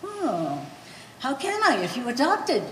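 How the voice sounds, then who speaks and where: In a reverberant hall with faint noise, a woman acts out an old immigrant mother in a stage play. She is shrewd and quarrelsome, arguing in blunt broken English with a lively, swooping delivery. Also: sits high, unhurried, exaggerated voice. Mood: scornful. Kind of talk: live stage play acting. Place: art gallery stage reading.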